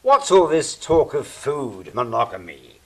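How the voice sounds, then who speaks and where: A man's voice: mid-range voice, wildly swooping pitch, a little slow, fairly bright tone, slightly rough, exaggerated voice, rough recording. A man playing an earnest, skeptical angel in an audio drama, questioning and chiding.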